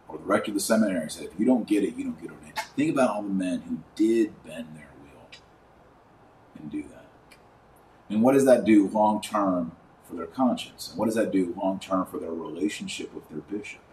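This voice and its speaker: Low-voiced guy doing podcast commentary, emphatic and folksy, echoey room, bit far from the mic. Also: a little mellow. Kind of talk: live podcast commentary mixed with reading aloud. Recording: roomy, distant mic, faint background noise.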